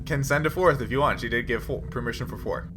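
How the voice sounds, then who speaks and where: A man's voice: medium pitch, quick pace. A man acting as game master in a casual tabletop session, with an amused, easygoing manner. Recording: noticeable background noise.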